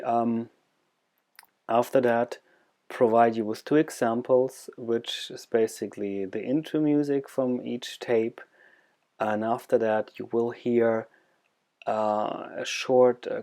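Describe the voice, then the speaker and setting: A man with a German accent presents informally in a creaky, slightly dark voice. He speaks haltingly and searches for his words, yet stays enthusiastic. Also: medium pitch.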